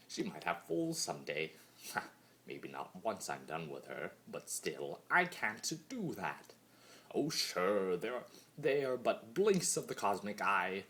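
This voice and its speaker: A man's voice: lowish voice, fairly bright tone. A man voicing a bitter, sarcastic chaos creature from a pony cartoon in a theatrical dramatic monologue. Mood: bitter, resigned. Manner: theatrical, sarcastic, swings between mutters and mocking outbursts. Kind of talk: dramatic monologue reading of fan fiction.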